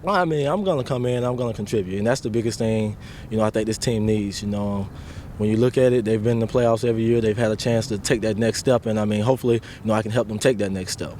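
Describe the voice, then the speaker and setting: Young male athlete, quick casual interview talk, slight noise around him. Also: lowish voice, darkish tone. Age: 20s. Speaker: football player being interviewed. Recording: faint noise in on-location interview.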